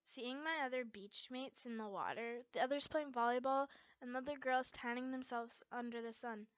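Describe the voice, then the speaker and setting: A woman reading fan fiction aloud as an amateur, in an expressive storytelling style. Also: on the high side, normal speed, clean tone, no echo, highs cut off. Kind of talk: expressive story narration, character dialogue acted out.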